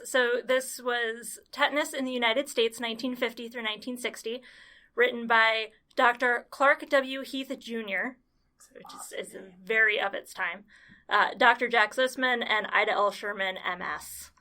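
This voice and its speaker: A woman's voice: fairly high pitch, steady tone, moderate pace, pushed and bright. A woman guest on a podcast, a public health planner, explaining things plainly with a dry sense of humor. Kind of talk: casual podcast chat.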